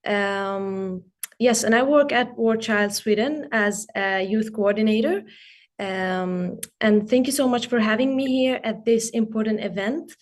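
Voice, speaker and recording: A young adult woman from Syria giving a prepared speech as a guest speaker, hesitant, with frequent pauses and ums. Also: a little high. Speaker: youth coordinator and guest speaker. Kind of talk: prepared speech at an online forum.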